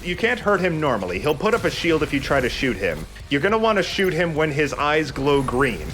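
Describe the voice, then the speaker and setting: A man's voice: a little high, sing-song, a little fast, a bit bright, soft background music. A man commentating a video game casually, explaining things with mock-announcer flourishes. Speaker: let's play host and gamer.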